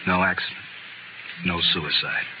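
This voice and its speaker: An actor with an American accent playing a cool, sardonic, vengeful gunfighter in a vintage radio western, laconic and wry. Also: male voice, deep voice, fairly even intonation, a little slow. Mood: grim, confiding. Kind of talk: 1950s radio western acting. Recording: muffled, noisy vintage radio recording.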